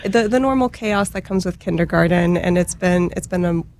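Woman radio guest, gravelly fry, hesitant and gushing. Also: lowish voice, normal speed, a little mellow. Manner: hesitant, many fillers, gushing. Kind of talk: casual interview chat.

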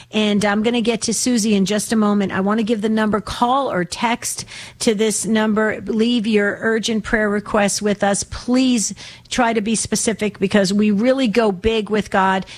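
Female faith radio call-in host, mid-pitched, slightly raspy, chatty and earnest. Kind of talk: conversational religious radio hosting.

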